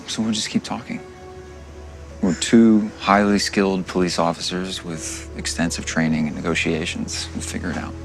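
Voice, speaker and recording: A deep, gravelly-voiced man as a steady police officer in a TV drama. His tone is calm and reassuring. Faint music plays behind him in the clip. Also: moderate pace. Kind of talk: TV drama acting.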